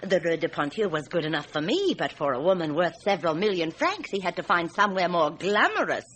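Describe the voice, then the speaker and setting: An actress playing a frank, bitter jilted fiancée in a radio drama, with a wry, outspoken way of talking. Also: female voice, fairly low pitch, very animated, fairly quick, a bit bright. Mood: bitter, sardonic. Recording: noisy radio drama recording.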